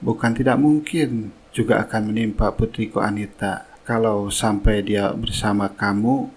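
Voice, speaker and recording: A man playing a dignified older company boss and father in a cartoon melodrama, husky, measured and authoritative. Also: fairly low pitch, steady tone, rough recording. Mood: stern, angry.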